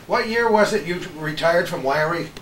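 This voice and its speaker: A man's voice: a little high, normal speed. A middle-aged or older man hosting a casual, chatty reminiscing interview, with a chuckling manner. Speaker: host. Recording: roomy, distant mic, faint noise.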